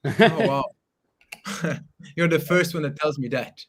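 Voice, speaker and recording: A man's voice: fairly high pitch, slow pace, fairly bright tone. Italian-accented man, friendly guest. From Italy. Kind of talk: casual interview chat.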